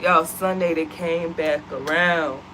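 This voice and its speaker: A woman's voice: deep voice, steady tone, slow, fairly bright tone, a little hiss or hum, low-quality recording. An American woman co-hosting a home vlog, chatting animatedly and addressing the viewers as y'all. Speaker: vlog co-host. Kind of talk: casual chat to viewers. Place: at home, seated.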